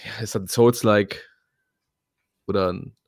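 A man's voice: fairly low pitch, fairly even intonation, unhurried. A man co-hosting a casual gaming podcast, sharing news with lots of hesitant fillers. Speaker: podcast co-host.

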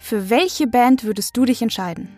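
A woman's voice: a little high, animated, moderate pace, a little mellow, soft background music. A young woman hosting a casual interview, putting questions in an informal, playful way. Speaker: interviewer, host.

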